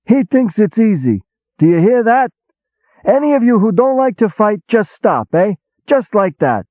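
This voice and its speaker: A man doing a loud brash army boss, Big Julie. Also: sits high, moderate pace, no room sound, pitched above the performer's own voice. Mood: sarcastic. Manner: sarcastic, excitable, shouting, streetwise gangster talk. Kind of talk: audiobook character voice. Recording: tinny phone-like sound.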